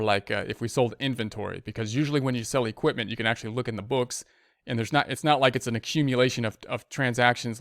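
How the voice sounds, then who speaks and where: Smooth-voiced man teaching accounting. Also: medium pitch, fairly bright tone, no echo, studio quality. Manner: repeats phrases while working through steps. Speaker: accounting instructor. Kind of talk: spreadsheet tutorial, thinking aloud.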